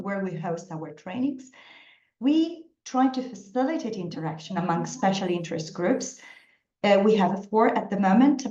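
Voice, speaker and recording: Woman presenter with a warm, mellow voice, fluent delivery, roomy and slightly muffled call audio. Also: medium pitch. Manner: articulate, fluent with occasional fillers. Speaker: guest speaker, managing director. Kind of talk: online presentation. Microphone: video call. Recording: dull, echoey video call audio.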